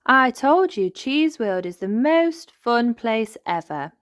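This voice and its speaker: A woman voicing an excited, cheese-loving young girl mouse in a storybook, full of gushing exclamations. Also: fairly high pitch, slow, voice pushed up, heavily acted.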